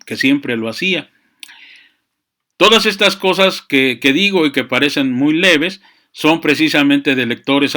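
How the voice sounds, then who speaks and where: A man giving a reflective, unscripted monologue, often halting to search for words and adding verbal tags. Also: medium pitch, fairly bright tone. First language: Spanish. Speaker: speaker on chess and literature. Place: small room with books.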